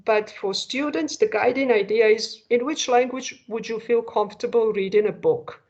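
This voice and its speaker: A woman's voice: on the high side, normal speed, darkish tone. A woman presenting an online school information session, explaining patiently and asking rhetorical questions. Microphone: video call. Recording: dull lo-fi video call audio.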